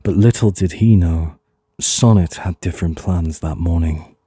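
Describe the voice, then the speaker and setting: A man narrating an audio drama in a solemn, measured storytelling manner. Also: low voice, mellow, breathy edge, no room sound, studio quality. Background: music underneath.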